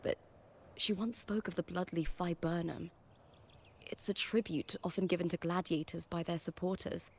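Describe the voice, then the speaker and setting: A woman's voice: fairly low pitch, steady tone, moderate pace, husky. A woman voicing a proud, earnest young gladiator in a video game, with a heartfelt, reverent delivery. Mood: solemn, tender. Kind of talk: voiced game dialogue.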